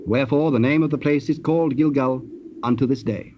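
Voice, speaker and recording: A man narrating a dramatized scripture, formal and measured in his storytelling. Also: mid-range voice. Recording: dull old cassette, faint noise.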